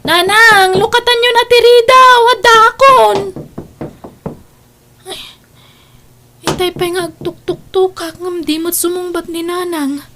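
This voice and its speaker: Woman acting a young dutiful daughter, high-pitched voice, affectionate, audio drama delivery. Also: steady tone, a little slow, long pauses, noisy background. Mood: anxious, impatient.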